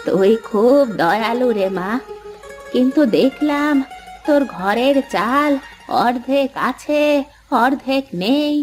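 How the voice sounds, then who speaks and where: An old beggar woman voiced by an actress from a cartoon folk tale. She is kindly and grateful, with a high voice and very slow, motherly delivery. Music plays clearly behind her. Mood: grateful, curious. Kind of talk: cartoon moral-story dialogue.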